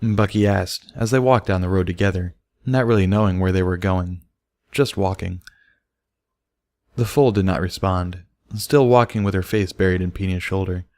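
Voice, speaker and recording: A man's voice: low voice, moderate pace, a little mellow, no room sound, clean studio sound. A man reading scripted story narration as an audiobook narrator.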